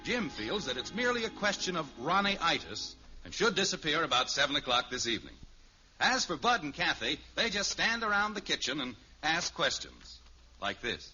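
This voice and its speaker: Animated male vintage radio narrator. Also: fairly high pitch, normal speed, husky. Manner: mock-grand, formal. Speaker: narrator, announcer. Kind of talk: 1950s radio scripted narration. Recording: muffled old radio, music behind. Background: music.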